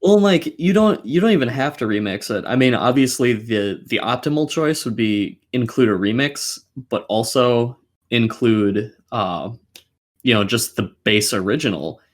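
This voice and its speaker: A man with an average-pitched voice talks casually as a gaming podcast host. He is blunt and disgruntled, swearing often as he gives his opinions. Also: lively intonation, normal speed.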